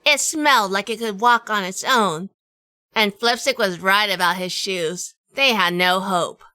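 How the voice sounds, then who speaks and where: A woman's voice: mid-range voice, a little slow, bright, forceful tone, dry recording. A woman playing a wry, world-weary detective in a noir audio drama, with a dry, sardonic delivery. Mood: wry, narrating. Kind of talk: hardboiled noir radio drama narration and dialogue.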